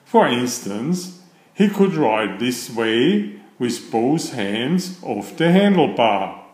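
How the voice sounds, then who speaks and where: A man reading a children's story aloud in a deliberate, expressive storytelling manner, with a roomy sound. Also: mid-range voice. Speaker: storybook narrator. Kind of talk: children's read-aloud narration.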